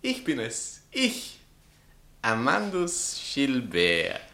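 An actor playing a vain, sardonic bohemian writer and spurned lover, pompous and mocking, in a satirical radio play. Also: male voice, mid-range voice, huge pitch swings, very slow, sharp tone, exaggerated voice. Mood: grandiose. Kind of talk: theatrical literary satire dialogue.

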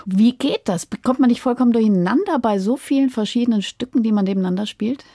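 A woman's voice: on the high side, lively intonation, quick pace, mellow, dry recording, clean studio sound. A woman hosting a radio interview, asking questions in a conversational way.

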